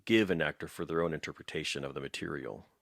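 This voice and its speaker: Male interviewer. Very deep bass pitch, dry close sound, casual conversational delivery. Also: fast talker, creaky, fairly bright tone, dry recording.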